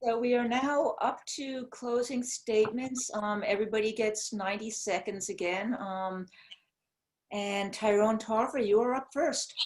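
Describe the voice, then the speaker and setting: A woman with a higher voice moderates a web forum over a video call. She keeps things brisk and procedural. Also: steady tone, a little mellow, low-quality recording.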